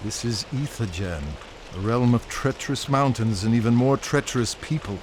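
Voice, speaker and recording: A man's voice: mid-range voice, normal speed, natural voice. An actor playing a pragmatic, unsentimental male adventurer in a video game, speaking in a matter-of-fact, insistent way. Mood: brisk, practical. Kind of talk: video game cutscene acting. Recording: echoey hall, faint ambient noise.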